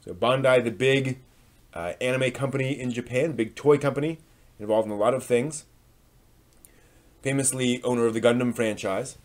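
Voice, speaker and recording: Casting: male live streamer, mid-pitched, casual and rambling, hesitant delivery. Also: normal speed, long pauses.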